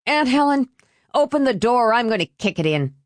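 A woman voicing an angry, desperate teenage boy in an audiobook, with an urgent, forceful manner. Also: on the low side, animated, slow pace, no echo. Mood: pleading, threatening. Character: angry, desperate teenage son burdened by drunken father.

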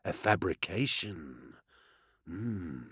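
A male narrator performs a sardonic interrogator in an audiobook story. He speaks low and measured, with a courtly amiability that carries open menace and theatrical mockery. The sound is dry and close. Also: animated, a bit bright, breathy edge, dry recording, heavily acted. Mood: cool, probing. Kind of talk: audiobook character acting.

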